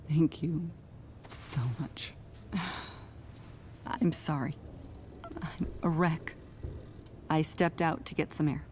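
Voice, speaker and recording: A woman playing a gentle middle-aged mother in a video game drama, tearful and distraught. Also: sits low, slight background noise.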